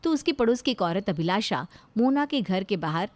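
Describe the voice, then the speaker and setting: A woman's voice: mid-range voice, lively intonation, moderate pace. A woman reading scripted narration for a children's cartoon story.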